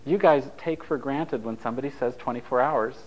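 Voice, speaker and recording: A man's voice: mid-range voice, brisk, darkish tone, highs cut off. A man from Italy whose first language is Japanese, leading a taped relationship workshop in a conversational, anecdotal way, joking with the group. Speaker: workshop leader.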